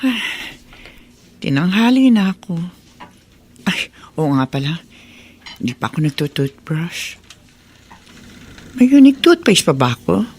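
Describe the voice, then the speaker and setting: A woman playing a hurried, chatty shopkeeper and dutiful daughter, thinking aloud in a Tagalog radio drama. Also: lowish voice, sing-song, a little mellow, slight background noise. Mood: musing.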